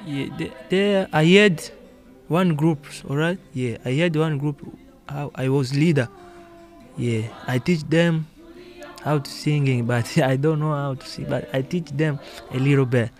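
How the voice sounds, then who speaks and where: Halting Congolese-accented man, higher voice. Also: darkish tone, soft background music. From Democratic Republic of Congo. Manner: halting non-native English, repeats words, laughs often. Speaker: guest musician. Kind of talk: casual interview answers.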